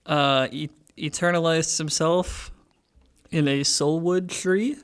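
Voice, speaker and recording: Slow, higher-pitched man, hesitant and casual. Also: fairly even intonation, clean tone. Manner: hesitant, pausing for words. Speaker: tabletop game podcast player. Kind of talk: casual recap of character's story.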